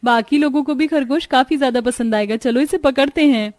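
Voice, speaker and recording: A woman playing a young village daughter-in-law in a cartoon story, expressive and chatty. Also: high voice, brisk, natural voice. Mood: earnest, animated. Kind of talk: Hindi cartoon story dialogue.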